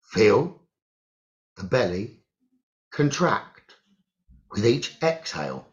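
A man leading a guided meditation, giving gentle instructions in short phrases with long pauses, on a muffled recording. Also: lowish voice, lively intonation. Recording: muffled, dull lo-fi audio.

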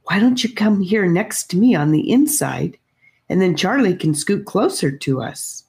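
A woman's voice: lowish voice, animated, unhurried, a little mellow, pitched above the performer's own voice. A woman reading as an anxious young girl. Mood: anxious whisper. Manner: urgent whispering.

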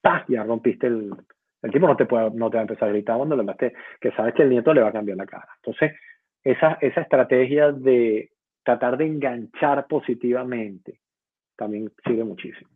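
A man with a husky voice speaks thoughtfully, stopping often with fillers. The sound is thin and phone-like. The room around him is dry, with no echo. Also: mid-range voice. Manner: reflective, halting with fillers. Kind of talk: casual conversation. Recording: tinny phone-like line.

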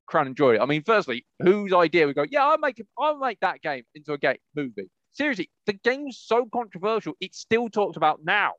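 A man's voice: high-pitched, very animated, a little fast, hardly any pauses, fairly bright tone, no room sound, muffled recording. A man chatting casually as a podcast co-host, rambling and jumping between thoughts. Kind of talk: casual chat about video game movies.

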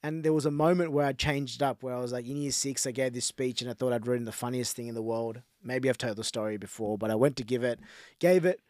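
A man's voice: medium pitch, quick pace, a little mellow, no echo, clean studio sound. A man with an Australian accent, born in Sri Lanka and raised in Australia, co-hosting a casual podcast chat, rambling with restarts and frequent laughter. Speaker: podcast co-host.

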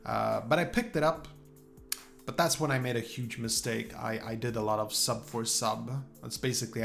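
A man from Sweden, an English speaker, chatting informally and unscripted to his viewers in a halting, rambling way. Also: mid-range voice, vocal fry. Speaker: YouTuber. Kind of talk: casual chat to viewers, unscripted.

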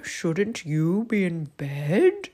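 A woman voicing a friendly owl in a children's bedtime story, with a hooting, drawn-out, reassuring manner. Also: fairly low pitch, wildly swooping pitch, dark tone, husky, heavily acted.

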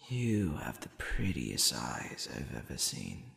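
A man voicing a tender, flustered demon boyfriend in an intimate ASMR roleplay, breathy and often near a whisper, speaking hesitantly. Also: extremely low pitch, wildly swooping pitch, reverberant, heavily acted. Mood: teasing, adoring. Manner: slow, hesitant, soft chuckling.

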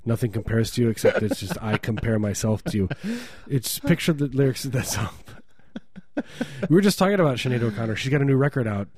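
A man riffs through comedy banter as a podcast co-host, cracking jokes and sliding into silly bits. His voice is mellow and dark. It sits dry and close on the mic. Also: mid-range voice, lively intonation, quick pace, husky, dry recording. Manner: joking, slips into silly put-on voices.

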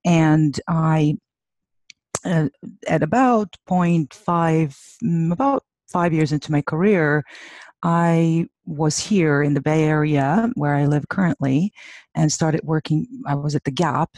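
A woman's voice: low voice, takes it slowly, a little mellow, no room sound. A woman in her forties from Serbia with a Serbian accent, a podcast guest talking with many fillers and restarts. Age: 40s. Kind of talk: conversational interview.

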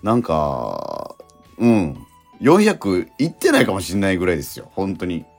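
Very deep-voiced, animated man hosting radio. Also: husky. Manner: halting, heartfelt, repeats words for emphasis. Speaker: radio show host. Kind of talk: casual radio talk responding to listener mail. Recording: radio talk over background music.

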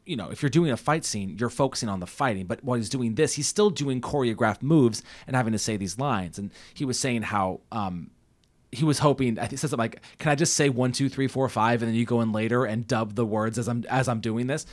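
Halting, enthusiastic male podcast co-host. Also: mid-range voice. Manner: halting, restarts often, enthusiastic. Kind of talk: casual film discussion.

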